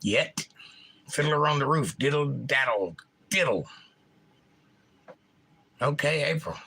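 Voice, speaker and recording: Crisp-spoken bright man with a Georgia drawl. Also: a little high, takes it slowly, poor audio. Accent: Georgia, US South. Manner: enunciates crisply, animated, opinionated. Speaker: livestream host. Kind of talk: casual chat reading viewer comments.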